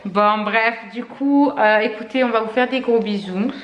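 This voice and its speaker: A woman's voice: a little high, moderate pace, muffled recording. A woman, a mother filming a casual family vlog at home, rambling with fillers and laughing often. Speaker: mother vlogger. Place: family home indoors, evening. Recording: echoey room with background noise.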